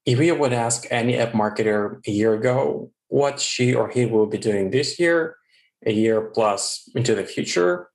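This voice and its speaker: Low-voiced male podcast host in an echoey room. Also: darkish tone. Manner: halting, with filler words. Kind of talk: podcast interview hosting.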